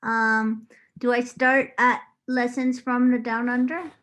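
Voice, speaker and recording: A woman's voice: fairly high pitch, little pitch movement, slow, a bit bright. A woman doing an informal, unrehearsed read-aloud. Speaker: reader.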